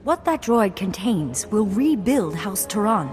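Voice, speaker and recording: A woman's voice: medium pitch, big pitch swings, a little slow, a little mellow, soft background music. A woman playing a proud young noblewoman in a video game, speaking formally and earnestly, with a haughty, scolding edge. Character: proud, prim young noblewoman, earnest heiress of a fallen house.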